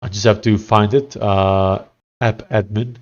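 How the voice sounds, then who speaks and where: A man's voice: on the low side, steady tone, a little slow, lo-fi sound. A man from South Africa with a thick Afrikaans accent, casually chatting with live stream viewers while he codes, thinking aloud with many fillers and restarts. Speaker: live-streaming software developer.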